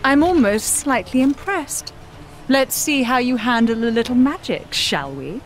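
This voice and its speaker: A haughty sorceress from a video game. Her fairly high voice swoops with slow, mocking condescension. Faint background noise surrounds it. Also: lively intonation. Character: haughty, taunting sorceress villain. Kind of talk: theatrical video game acting.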